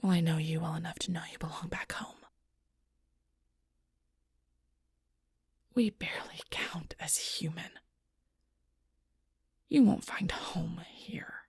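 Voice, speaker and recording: A woman acts out a wounded, fiercely protective she-werewolf in an audio roleplay. Her voice is husky and full of fry, captured very dry and close. She speaks straight to the listener with bitter, confrontational intensity. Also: fairly low pitch, measured pace, a little mellow, dry recording, heavily acted. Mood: hurt, insistent. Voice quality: breathy, husky, rough-edged. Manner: intense, confrontational, swearing, often dropping to near-whisper.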